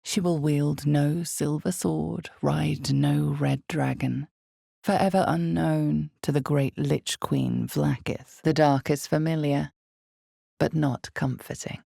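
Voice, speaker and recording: Ominous fantasy game narrator lady, super creaky voice, slow n deliberate, dramatic. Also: fairly even intonation, dark tone, dry recording, studio quality, exaggerated voice. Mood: ominous, measured. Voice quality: creaky, low. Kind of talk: scripted second-person dark fantasy narration.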